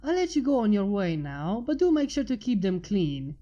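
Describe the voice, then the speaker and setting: A woman voicing a kindly middle-aged female pony baker in a storybook, fond and gently instructing. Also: mid-range voice, very animated, normal speed, muffled recording, lightly acted. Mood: fond, motherly.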